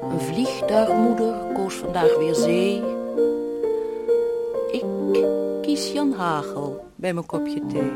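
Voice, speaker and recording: An actress playing a complacent, homely housewife in a radio play, breezy and dismissive of big worries. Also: female voice, mid-range voice. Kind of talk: radio play acting, with verse set to piano music.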